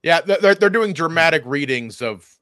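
A man's voice: on the high side, expressive, normal speed, fairly bright tone, no echo, studio quality. A man co-hosting a comedy podcast, riffing casually with jokes and interjections. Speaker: podcast co-host.

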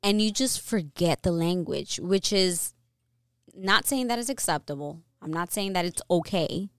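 Young adult woman, podcast co-host, earnest and chatty with lots of fillers; smooth, lowish voice, dry close mic. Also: a little slow, no echo. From New York, US. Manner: earnest, opinionated, many fillers and restarts. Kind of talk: casual conversational podcast.

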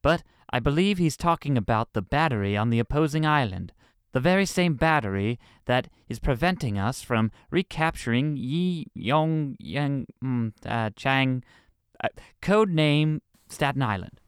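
A man's voice: medium pitch, expressive, a little slow, clean tone, no room sound, clean studio sound. A man playing a formal, patient military officer in a comedy audio drama. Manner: formal, patient, slightly flustered. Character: polite, fussy male military officer.

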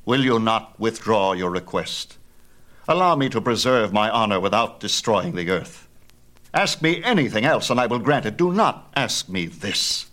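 Man acting proud god of myth, fatherly, imperious, theatrical, emphatic, fairly low voice, storybook drama. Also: expressive, moderate pace, a bit bright. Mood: grave, pleading. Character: proud, mighty sun god father, booming and quick-tempered.

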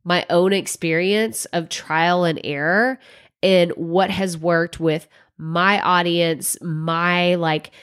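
A congested woman with a slightly low voice hosts a solo podcast. Her voice sounds stuffy and nasal from a cold. She talks in a chatty, conversational way and drops in casual fillers. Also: steady tone, slow pace, fairly bright tone, clean tone. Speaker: podcast host.

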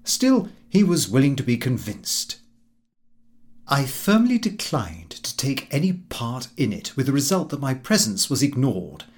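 A man's voice: medium pitch, big pitch swings, unhurried. A man reading a comic diary novel aloud as scripted narration, in a formal, fussy first-person manner. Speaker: audiobook narrator.

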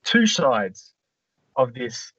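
A man's voice: mid-range voice, sing-song, extremely slow, a bit bright, no echo. A man co-hosting a comedy podcast, rambling in a mock-earnest way. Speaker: podcast co-host, contestant. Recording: dry lo-fi podcast audio.

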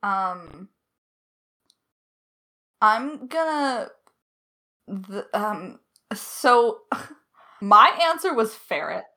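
A woman's voice: medium pitch, sing-song, extremely slow, long pauses, pushed and bright. A woman chatting casually and off the cuff, playfully insistent, with hesitant starts and side tangents. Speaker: audio drama lead writer answering questions. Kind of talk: casual unscripted chat.

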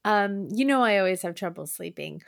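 A woman co-hosting a podcast with a raspy, hoarse voice, chatting casually and swearing freely. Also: mid-range voice, lively intonation, brisk. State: hoarse from acid reflux. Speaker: podcast co-host. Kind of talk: casual chat.

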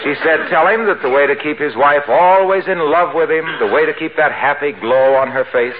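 An actor playing a cheery, sly American pitchman pal, delivering smooth sales patter in a 1940s radio comedy. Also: moderate pace, lightly acted. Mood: salesman zeal. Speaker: announcer and pitchman. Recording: tinny 1940s radio recording, faint hiss.

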